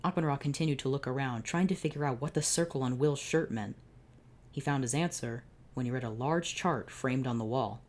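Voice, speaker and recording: A woman's voice: extremely low pitch, fairly quick, a little mellow. A woman hosting her channel, reading fan fiction aloud and breaking off for sarcastic, profane asides. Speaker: channel host.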